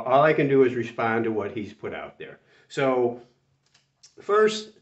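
A man in his sixties, slightly nasal from a cold, hosting a retirement channel and delivering emphatic, exasperated talking-to-camera commentary that repeats words for stress. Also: medium pitch. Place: small room with a whiteboard, indoors. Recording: roomy, distant, slightly dull sound.

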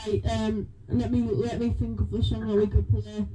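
A man's voice: high-pitched, little pitch movement, moderate pace, noisy background. A young man from Scotland hosting a playful amateur radio show, hesitant and full of ums. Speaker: hobby radio presenter.

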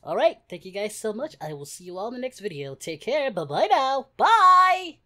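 A woman's voice: on the high side, big pitch swings, normal speed, pushed and bright. A woman voicing a cheerful young male anime hero, giving exclamatory, slangy, mock-dramatic reaction commentary. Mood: chatty, amused. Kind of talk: playful reaction video commentary.

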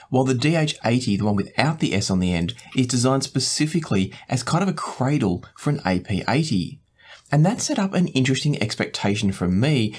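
A man, hosting a product review in a measured, explanatory way. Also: medium pitch, a little mellow. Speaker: host, audio gear reviewer. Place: small indoor home studio room.

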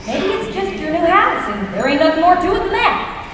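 A woman's voice: very high voice, big pitch swings, brisk, fairly bright tone, far from the mic, full character voice. A woman voicing a folksy, hearty young female pony with a Southern US accent in a cartoon, recorded at a hall screening. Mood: folksy, resigned. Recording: echoey noisy hall screening with crowd.

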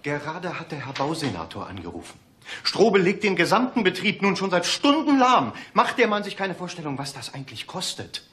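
Pompous, impatient director. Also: male voice, high-pitched, big pitch swings, a little fast, sharp tone, heavily acted. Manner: officious, indignant, clipped. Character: pompous, impatient police director, touchy official. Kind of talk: German radio play acting.

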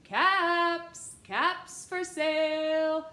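A woman's voice: sits high, fairly even intonation, very slow, pushed and bright, reverberant, voice pushed up, full character voice. A woman voicing a man, a street peddler, calling out his wares in a sing-song street cry.

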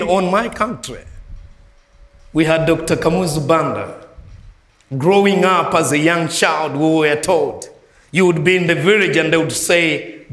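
Man, middle-aged, from Malawi, emphatic sermon, handheld mic, roomy hall echo. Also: on the high side. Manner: energetic, emphatic, pacing stage with handheld mic. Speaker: conference preacher. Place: large hall with audience, indoors. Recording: echoey hall.

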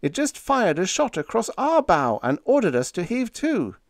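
Man as a panicky, high-pitched yelling lieutenant. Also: wildly swooping pitch, normal speed, no room sound, studio quality, heavily acted. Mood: panicked. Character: panicky young lieutenant shouting an urgent alarm. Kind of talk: dramatised science fiction story reading.

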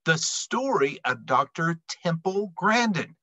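A middle-aged man reading a children's picture book aloud expressively, with emphatic, dramatic phrasing and deliberate pauses. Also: fairly high pitch, very animated, sharp tone, no room sound. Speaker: read-aloud storyteller.

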